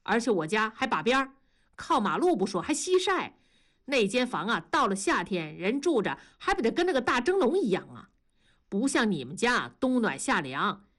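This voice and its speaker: A woman's voice: fairly high pitch, very animated, moderate pace, fairly bright tone. A woman voicing an aggrieved male factory worker who gripes sarcastically in colloquial speech, in an audiobook.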